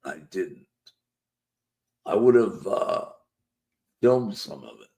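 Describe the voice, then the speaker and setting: A man's voice: sits low, lively intonation, slow, gravelly, warm tone. A man hosting a casual live chat show, losing his voice, rambling and reading out viewer comments. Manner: rambling, chatty, reads viewer comments aloud. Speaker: host.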